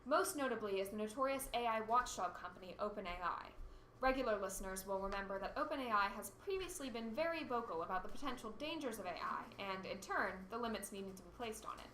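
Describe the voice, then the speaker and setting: A woman's voice: medium pitch, lively intonation, quick pace, a bit bright, close to an everyday voice. A woman playing a businesslike, blunt and impatient radio news anchor in an amateur radio play. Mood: newsy, composed. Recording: roomy echo, background noise and ambience.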